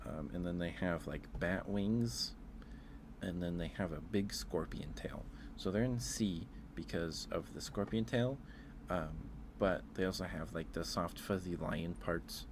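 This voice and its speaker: Low-voiced man streaming, noisy recording. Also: unhurried. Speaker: live streamer. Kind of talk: casual ASMR livestream chat.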